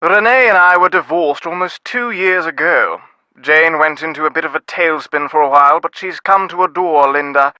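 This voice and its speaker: An actor playing a busy, reserved middle-aged British diplomat in a video game, speaking in a formal, clipped, wordy way. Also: male voice, on the high side, normal speed, bright, forceful tone, no echo. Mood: exasperated, reserved.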